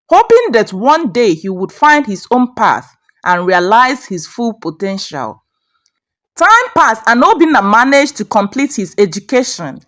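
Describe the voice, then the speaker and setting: Female narrator for folktales: fairly high voice, animated melodic range, measured expressive storytelling.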